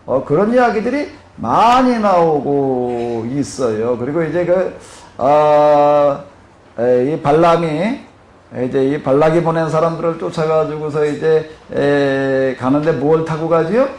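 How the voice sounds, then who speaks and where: A man's voice: a little high, a little slow, darkish tone. A male pastor with a Jeolla accent lecturing at a Bible seminar, rambling with many fillers and putting questions to his listeners. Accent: Korean Jeolla dialect. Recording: echoey room with faint noise.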